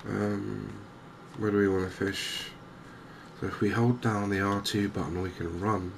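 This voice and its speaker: A man with an English accent giving soft, gentle, unhurried cozy ASMR commentary on a video game as the channel host. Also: fairly low pitch, level tone, gravelly, noisy background. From England.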